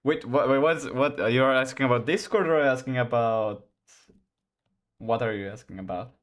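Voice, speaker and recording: A man's voice: medium pitch, fairly quick. Finland-Swedish young man, rambling stream chat. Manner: rambling, restarts often, laughs a lot. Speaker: game developer streaming. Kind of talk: casual live-stream chat while coding.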